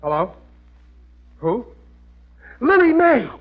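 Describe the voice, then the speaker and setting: High-pitched American everyman husband, gullible, blustery, easily flustered, muffled old radio comedy. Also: huge pitch swings, takes it slowly, exaggerated voice. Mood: bewildered. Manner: blustery, ungrammatical everyman talk. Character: good-natured, gullible working-class husband, easily flustered. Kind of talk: 1940s radio comedy acting. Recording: muffled vintage radio recording.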